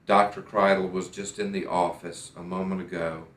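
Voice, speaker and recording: A very low-voiced American man gives a patient's testimonial in a deliberate, careful way and often circles back to restart a thought. He is recorded in a doctor's office, and the room sounds noticeably echoey. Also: level tone, fairly bright tone. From United States. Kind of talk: personal testimonial.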